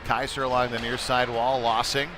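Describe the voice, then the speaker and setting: A man giving conversational live play-by-play commentary of a youth hockey game, ready to break into excited calls. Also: mid-range voice, normal speed. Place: indoor ice rink during a game. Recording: clearly noisy sports rink behind voice.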